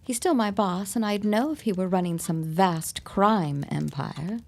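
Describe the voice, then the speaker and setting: A woman's voice: medium pitch, measured pace, creaky. An actress playing a sly, worldly woman in a period audio drama, coaxing gossip out of people with arch, theatrical charm. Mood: arch, probing. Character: sly, worldly woman pumping neighbours for gossip over gin. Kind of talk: period radio-drama acting.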